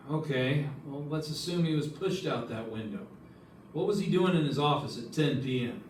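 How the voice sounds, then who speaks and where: A man's voice: fairly high pitch, animated, moderate pace, a little hiss or hum, natural voice. Man acting a wry radio detective, roomy stage sound. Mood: businesslike. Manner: wry, questioning, clipped. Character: dry, probing male insurance investigator narrating his case. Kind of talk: old-time radio detective drama acting. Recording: roomy, distant stage recording with music.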